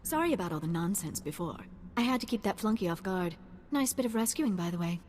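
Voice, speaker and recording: A woman's voice: mid-range voice, expressive, fast, darkish tone, lightly acted. An actress playing a flippant, cocky young female spy in a video game, with a wry, teasing manner. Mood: breezy, cocky. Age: young adult. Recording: long hall reverb, faint noise.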